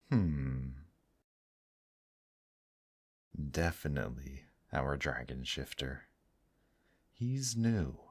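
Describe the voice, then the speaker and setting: An extremely deep-voiced demon host, acted by a man, speaks slowly and intimately, as in an ASMR roleplay. He is suave and courtly, gently teasing the listener with old-fashioned pet names. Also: heavily acted. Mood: smooth, suggestive.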